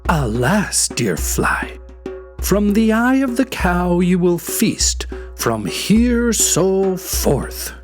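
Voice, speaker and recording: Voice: medium pitch, big pitch swings, very slow, faint music behind, voice pushed up. A storyteller voicing a grand, swooping, regal queen. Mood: shocked, decreeing. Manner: regal, dramatic. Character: grand, gracious, commanding queen of a folktale.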